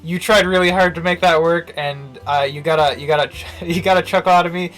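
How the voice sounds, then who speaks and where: A man's voice: on the high side, steady tone, fairly quick, fairly bright tone, rough recording. A man giving casual, joking live commentary as a game streamer, with a wry manner. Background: faint game music.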